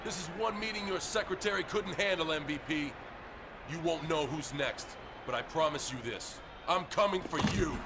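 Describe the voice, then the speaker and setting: A man's voice: fairly high pitch, normal speed, natural voice. A man voicing a defiant wrestling hero who issues menacing challenges in a video game promo. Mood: threatening. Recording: noisy arena with loud crowd.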